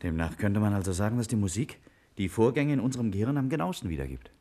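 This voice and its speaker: An actor playing a curious, kindly scientist in a 1950s radio play, with a deliberate, inquiring manner. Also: male voice, on the low side, lively intonation, mellow. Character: curious, attentive male scientist, kindly colleague.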